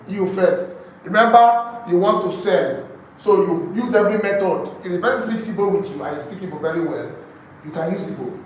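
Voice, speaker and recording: A man with a Nigerian accent teaching a training session, emphatic, repeating key phrases and putting rhetorical questions to his listeners. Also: high-pitched. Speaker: real estate sales trainer. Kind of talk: live training lecture to a group. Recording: echoey room, distant mic, faint noise.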